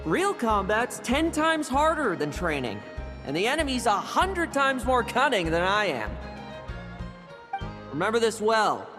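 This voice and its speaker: Cocky, swooping high-voiced young knight. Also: male voice, very animated, measured pace, music in the background. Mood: self-assured. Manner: teasing, theatrical. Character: cocky, playful young male knight captain, smugly mischievous. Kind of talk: video game voice acting.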